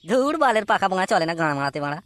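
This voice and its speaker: Man acting a fast-talking, high-pitched brash slacker. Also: lively intonation, fairly bright tone, dry recording. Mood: irritated. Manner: crude, swearing, blustering. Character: brash, foul-mouthed slacker young man, boastful cartoon troublemaker. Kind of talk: comedy cartoon dialogue.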